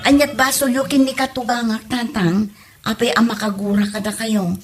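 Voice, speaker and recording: A woman's voice: a little high, steady tone, moderate pace, over loud music, lightly acted. A woman playing an exasperated married daughter who questions and scolds, with an Ilocano Filipino accent, in a comedy audio drama. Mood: puzzled, exasperated. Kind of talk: comedy radio drama acting.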